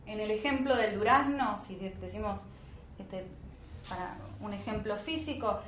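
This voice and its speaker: A woman teaching a class in an explanatory lecture style, often pausing with short check-in questions. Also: medium pitch, fairly bright tone. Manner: didactic, frequent check-in questions. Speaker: teacher of an online spiritual alchemy course. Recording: roomy echo, dull lo-fi sound, faint noise.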